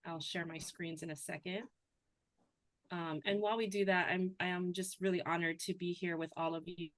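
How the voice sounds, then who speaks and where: A woman from Hawaii giving an earnest webinar talk as a cultural trainer, speaking softly with frequent ums. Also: fairly low pitch, steady tone, moderate pace, no echo, low-quality recording. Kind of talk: webinar presentation. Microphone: video call.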